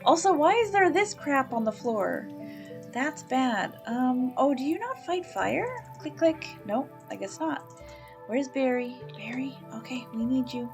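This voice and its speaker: Slow, chatty woman gamer from Canada. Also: sits high, expressive, music in the background. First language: English. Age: middle-aged. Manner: chatty, thinks aloud, coos at baby animals. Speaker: let's play host. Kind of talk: casual gameplay commentary.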